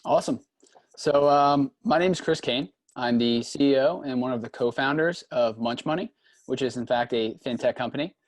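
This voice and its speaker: A young man in his twenties speaks as a panelist in an upbeat, animated way. His voice sits in an ordinary middle register and sounds dry and close to the mic. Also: medium pitch, moderate pace, no echo. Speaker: startup CEO and panelist. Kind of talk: casual panel introduction.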